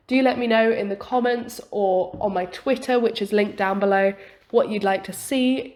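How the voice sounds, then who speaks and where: A young woman from England, still a little croaky after being ill, chatting casually over her building video as a game YouTuber. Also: medium pitch. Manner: chatty, relaxed, many fillers and "quite". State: croaky from illness.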